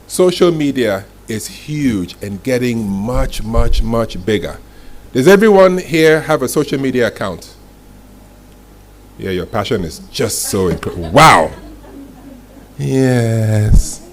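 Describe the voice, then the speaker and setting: A man giving a live motivational masterclass to an audience, speaking emphatically and deliberately and repeating key phrases. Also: medium pitch, animated. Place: indoor event room with audience. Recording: noisy live event room.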